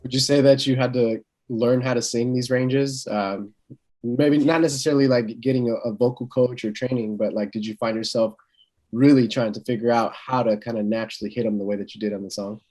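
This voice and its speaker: A man's voice: medium pitch, fairly even intonation, brisk, a little mellow. A man working as an interviewer, asking long, casual questions in a tentative way and rephrasing them as he goes.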